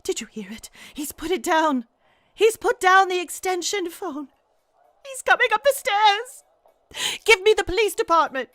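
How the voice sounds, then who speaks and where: A high, bright-voiced, nervous invalid wife played by an actress in a radio thriller. She stammers and pleads insistently, her pitch leaping as she demands help in breathless agitation. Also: expressive, unhurried, heavily acted. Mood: panicked. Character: anxious, demanding invalid wife alone at home, terrified. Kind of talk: radio play thriller acting.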